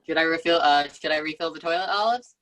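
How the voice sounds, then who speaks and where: A woman playing an excitable, goofy young man in an amateur sitcom table read. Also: sits low, fast, low-quality recording. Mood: cheerful.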